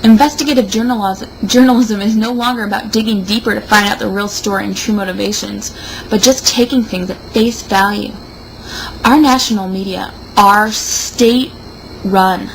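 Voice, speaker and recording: Casting: woman, gravelly fry in a mid-pitched voice, tipsy mock-serious read-aloud with drawn-out emphasis. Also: fairly even intonation, slight background noise, poor audio. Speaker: show host reading an opinion article aloud. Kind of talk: theatrical read-aloud with mocking asides.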